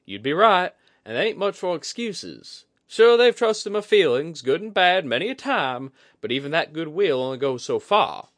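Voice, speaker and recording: Guy doing a gruff salty sea woman, folksy clipped talk, pitched way up from his own voice, storybook style. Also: big pitch swings, normal speed, hardly any pauses, a bit bright, no echo, clean studio sound. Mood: gruff, bitter. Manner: folksy, clipped dropped-g speech. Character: weathered woman sea captain, gruff, plain-spoken, superstitious.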